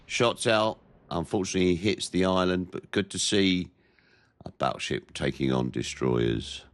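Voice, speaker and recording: A very deep-voiced man with a head cold, his voice nasal and stuffy as he narrates a warship game in a measured, plain-spoken way. The sound is close and dry, with no room around it. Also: dry recording. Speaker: gameplay commentator. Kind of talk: naval game commentary.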